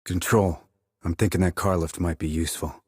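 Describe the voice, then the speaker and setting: Game sniper, husky, low-pitched, terse military reporting, controlled, dry confidence. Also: male voice, fairly even intonation, normal speed, darkish tone, no room sound. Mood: businesslike. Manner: clipped radio-style reports, dry and controlled. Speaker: video game voice actor. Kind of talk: scripted military game dialogue.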